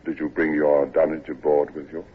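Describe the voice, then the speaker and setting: An actor playing a gloomy, stern but not unkind old ship's captain, in an old radio drama. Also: male voice, extremely low pitch, monotone, slightly rough. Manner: slow, dry, morose. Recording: tinny old radio broadcast.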